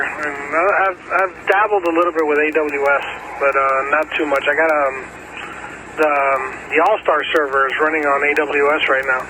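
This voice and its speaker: A man in casual technical conversation over two-way radio, informal and slangy, speaking haltingly as a ham operator. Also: on the high side. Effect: two-way radio transmission. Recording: noisy ham radio repeater audio.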